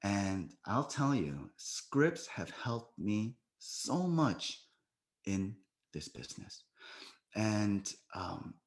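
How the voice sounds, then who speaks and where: Lively American man coaching on a call. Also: lowish voice, drawn-out words. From United States. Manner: coaching, often tags "right?". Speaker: sales trainer. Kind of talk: training talk on a video call. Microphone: video call.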